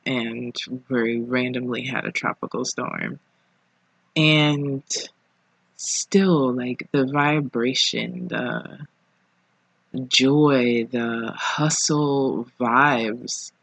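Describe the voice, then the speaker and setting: A podcast host speaking casually alone, in an informal, reflective way with pauses between phrases. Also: mid-range voice, creaky, no room sound, low-quality recording. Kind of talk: casual solo podcast monologue. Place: living room at home.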